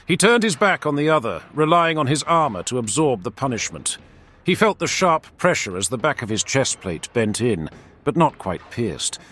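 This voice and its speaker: A man's voice: mid-range voice, a bit bright. A man narrating scripted fantasy fiction in a theatrical, intense storytelling manner. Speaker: audiobook-style lore narrator.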